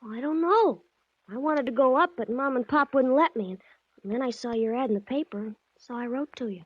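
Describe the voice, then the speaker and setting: A woman's voice: high-pitched, brisk, breathy edge, no echo. A woman playing an earnest, secretive small-town American boy with hesitant kid slang in a 1940s radio drama. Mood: earnest, worried. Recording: dull old 1940s radio recording.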